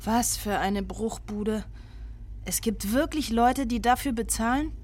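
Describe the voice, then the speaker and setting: A woman's voice: a little high, unhurried, darkish tone, faint music behind, close to an everyday voice. An actress playing an uneasy, indignant woman in an audio drama, blunt in her disgust.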